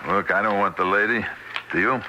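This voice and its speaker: An actor playing a weary, devoted older husband in an old-time radio drama, speaking in a subdued, halting way. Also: male voice, lowish voice, fairly even intonation, bright, forceful tone, slight background noise. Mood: wry, scheming.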